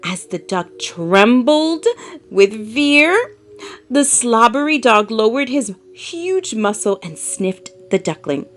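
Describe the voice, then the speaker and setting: A woman reading a children's story aloud as an expressive, dramatic narrator with emphatic delivery. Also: a little high, takes it slowly.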